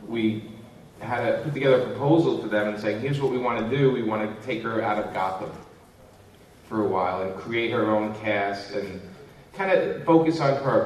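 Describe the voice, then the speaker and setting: A man's voice: lowish voice, distant mic, a little hiss or hum. Chatty New man on a panel. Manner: chatty, rambling anecdotes. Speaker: comic writer on a panel. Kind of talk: convention panel talk. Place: convention panel room, live audience. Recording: echoey room mic from afar.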